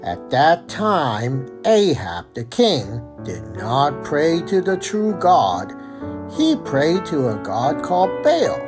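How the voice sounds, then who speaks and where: Woman voicing a timid, low-pitched storytelling monkey. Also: music in the background, full character voice. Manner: slow, deliberate, expressive delivery for children. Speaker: storyteller and co-host. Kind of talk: children's puppet show and storybook reading.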